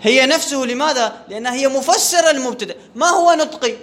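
High-voiced man teaching grammar. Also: quick pace, fairly bright tone. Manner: asks rhetorical questions and answers them himself, repeats key terms. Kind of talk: classroom lecture on Arabic grammar.

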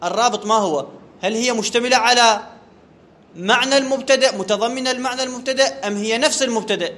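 A man's voice: extremely high pitch, fast talker, bright, forceful tone. A man teaching a grammar lesson. He puts questions to the class, answers them himself and repeats the key terms. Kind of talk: classroom lecture on Arabic grammar.

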